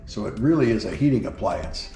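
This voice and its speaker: A man's voice: lowish voice, animated, a little fast, vocal fry, mellow. A man working as a home builder explains a house on a tour in a matter-of-fact, informative way. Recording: roomy indoor echo with music behind. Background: background music.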